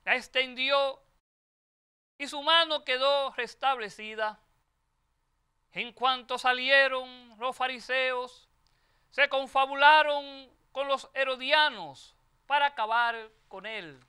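Older male priest, high bright timbre, preaching a homily into a lectern mic in a chapel. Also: fairly even intonation, clean tone. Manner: measured, emphatic preaching with repeated phrases. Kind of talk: Gospel reading and homily at Mass. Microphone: lectern microphone.

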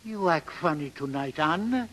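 An actor playing a fond, superstitious old Swedish barge captain in broken English, in a 1930s radio drama. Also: male voice, fairly high pitch, lively intonation, normal speed, a bit bright, slight background noise. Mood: anxious, urgent.

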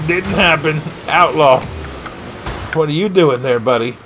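A man streaming a video game live, giving excitable play-by-play with shouted catchphrases. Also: a little high, measured pace, a little hiss or hum. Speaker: gaming streamer. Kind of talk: live gameplay commentary.

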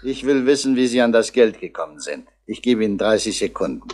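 Police commissioner, fast, brusque, commanding, wide swings in pitch, retro crime radio play. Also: male voice, big pitch swings, a little mellow. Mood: stern. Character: stern, impatient male police commissioner. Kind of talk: 1960s German crime radio drama acting.

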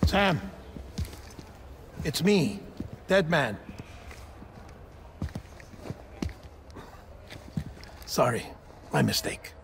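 A solemn polite doctor type in a game, formal n deferential, bit of background noise. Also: male voice, a little high, very animated, slow pace, creaky, exaggerated voice. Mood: apologetic, solemn. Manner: formal, deferential, gently grave. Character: solemn, polite male medical official. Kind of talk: cinematic video game acting.

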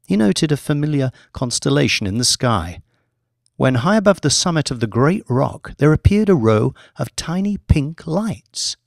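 A man reading a scripted audiobook story in a measured, deliberate storytelling manner. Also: fairly high pitch, very animated, vocal fry, no echo, clean studio sound. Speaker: audiobook narrator, author reading own book.

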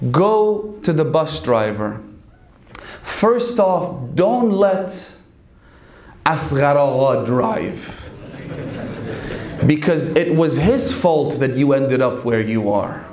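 A man in his thirties delivers a sermon as a story, his voice sitting fairly high. He sinks lower and slower when he quotes others. The hall gives his voice a clear echo. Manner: animated storytelling, quoting in a lowered voice. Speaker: preacher. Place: hall with red banner. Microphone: stand mic in front. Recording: echoey hall.

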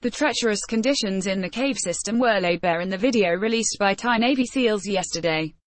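Dry, even woman news reader. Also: mid-range voice, a little fast, dry recording. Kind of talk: read-out news narration.

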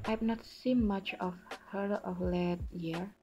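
A woman's voice: medium pitch, fairly even intonation, slow pace, mellow, faint music behind. A young woman playing a loyal, defensive farmer's wife in an amateur student drama. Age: young adult.

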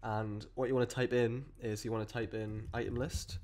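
A man with a cold and a croaky voice, explaining code step by step in a rambling way with many fillers and restarts. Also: mid-range voice, level tone, moderate pace, darkish tone. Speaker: programming tutor. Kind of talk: screen-recorded coding tutorial.

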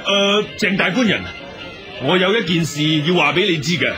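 An actor playing a boastful Buddhist monk exorcist in a radio drama, declamatory and self-assured. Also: male voice, high voice, lively intonation, normal speed. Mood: confident, boastful. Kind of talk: Cantonese radio drama acting. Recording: music behind the dialogue.

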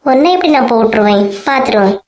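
A woman's voice: a little high, animated, fast, darkish tone, lightly acted. Woman acting dry, grumbling daughter-in-law. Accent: Kanyakumari Tamil. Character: shrewd, grumbling daughter-in-law in village comedy. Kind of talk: cartoon comedy acting.

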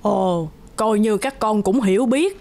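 A woman voicing a huge old giantess mother in a cartoon, gruff but kindly, with a commanding, matter-of-fact manner. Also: mid-range voice, a little slow, mellow. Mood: dismissive, sly.

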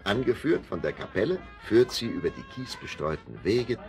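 A man's voice: lowish voice, sing-song, normal speed, gravelly, a little mellow. A man narrating a children's audio drama in a cheerful storytelling manner, recorded from vinyl with music behind.

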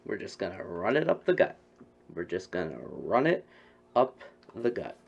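Young male game streamer, gravelly fry, joking and repetitive, muffled lo-fi webcam sound. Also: deep voice, sing-song, normal speed. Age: young adult. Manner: repeats phrases over and over. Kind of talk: casual joking gameplay commentary. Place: bedroom, indoors. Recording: dull, lo-fi webcam stream audio.

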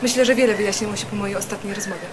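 A woman's voice: medium pitch, fairly even intonation, very fast, background noise, lightly acted. A woman playing a shrewd female detective in a radio play, questioning in a pointed way. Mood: composed. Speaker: amateur radio drama actor.

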